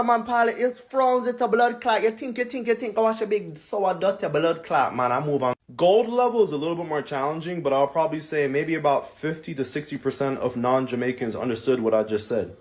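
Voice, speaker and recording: A man doing a comedy bit to camera, playful and exaggerated, with a jumpy delivery. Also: a little high.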